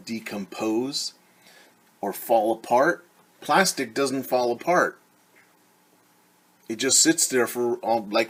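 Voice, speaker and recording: A middle-aged man reading a picture book aloud as a teacher, stopping to explain it simply and repeating words for young children. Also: fairly low pitch. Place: small room at home, indoors.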